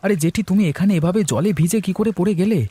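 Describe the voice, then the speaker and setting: Young village lad in a cartoon, earnest, kinda husky and dark sounding. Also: male voice, high-pitched, fairly quick. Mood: concerned. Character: helpful, concerned young village neighbour lad.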